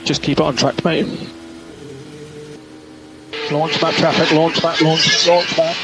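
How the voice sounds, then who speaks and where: A man's voice: fairly high pitch, little pitch movement, slow pace. A man working as a race engineer, giving clipped instructions over team radio and repeating the key calls. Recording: noisy team radio transmission.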